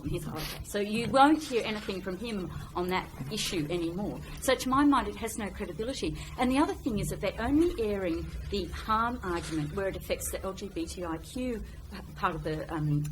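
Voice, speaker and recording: A woman speaking on a public debate panel, arguing in a conversational, rephrasing way into an event microphone. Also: on the low side. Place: public meeting room. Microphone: event microphone, sometimes off-mic. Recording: noisy live room, uneven microphone level.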